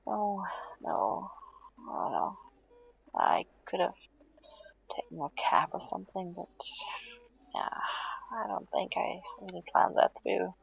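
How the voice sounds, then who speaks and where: Slow, breathy, weary young-sounding patient played by a woman. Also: fairly low pitch, very animated, highs cut off, heavily acted. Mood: sheepish, playful. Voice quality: soft, breathy, often half-whispered. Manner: hesitant, halting, reassuring, drowsy. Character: weary heat-exhausted woman patient, stubborn yet affectionate. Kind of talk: intimate audio roleplay to the listener.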